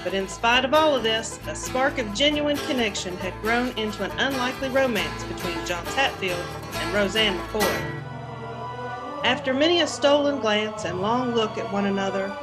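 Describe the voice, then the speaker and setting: A woman's voice: fairly high pitch, lively intonation, normal speed, over loud music, low-quality recording. A woman giving scripted, storytelling narration for a historical radio drama.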